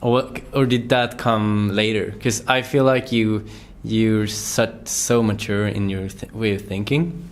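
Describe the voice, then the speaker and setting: Low-voiced hesitant male interviewer. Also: fairly even intonation, unhurried, few pauses, slight background noise. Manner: hesitant, trailing questions. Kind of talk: casual interview questions.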